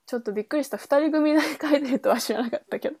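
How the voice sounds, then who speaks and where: A woman's voice: sits high, rapid-fire, gravelly, darkish tone. A woman co-hosting a radio-style podcast in a casual, conversational style. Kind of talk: casual conversational book chat.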